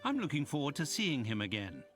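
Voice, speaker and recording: A genteel, fussy companion to a detective in a video game. His pitch is a little high and swings expressively as he speaks politely. Clear music runs underneath. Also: fairly quick. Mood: relieved, polite. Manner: polite, expressive, slightly fretful. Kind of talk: video game cutscene acting.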